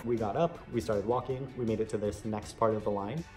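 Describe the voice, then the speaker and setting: Fast-talking man telling a casual vlog story. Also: on the low side, over loud music. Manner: chatty, frequent "like" asides. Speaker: vlogger recounting an audition.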